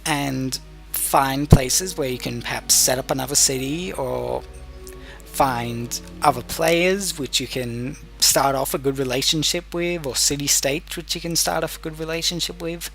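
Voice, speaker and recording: A man's voice: mid-range voice, unhurried, vocal fry, fairly bright tone, soft background music. A man giving explanatory video game commentary as a tutorial host, patiently going step by step with some hesitation. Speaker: gamer hosting a let's play tutorial.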